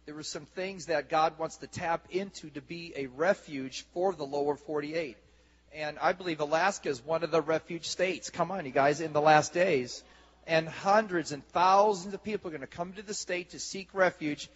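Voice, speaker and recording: A man hosting a church service on stage, rousing the congregation with exuberant, excited talk into a handheld mic. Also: a little high, moderate pace, breathy edge. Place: large church sanctuary stage. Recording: lo-fi camcorder recording in a reverberant hall.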